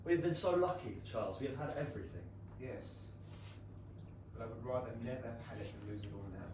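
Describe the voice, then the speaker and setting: A man plays an anxious, earnest Regency gentleman with a mid-pitched voice and formal speech. It is a staged reading heard from a distance in a heavily reverberant theatre. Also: fairly quick, close to an everyday voice. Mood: regretful. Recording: very echoey theatre, distant noisy mic.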